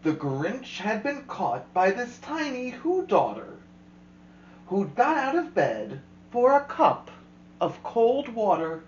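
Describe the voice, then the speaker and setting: Expressive woman reading a rhyming storybook. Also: on the high side, slow, roomy sound, dull audio, slight background noise. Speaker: storyteller host. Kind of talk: reading a children's picture book aloud.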